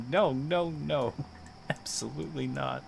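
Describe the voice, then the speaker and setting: A man's voice: on the high side, steady tone, slow pace, vocal fry, slight background noise. A man chatting casually and thinking aloud as he streams a video game, in a playful manner.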